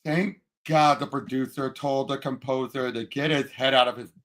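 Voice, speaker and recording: A man's voice: medium pitch, steady tone, moderate pace, a bit bright. A man talking about movies as a podcast panelist, emphatic and eagerly repeating himself. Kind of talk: casual movie discussion.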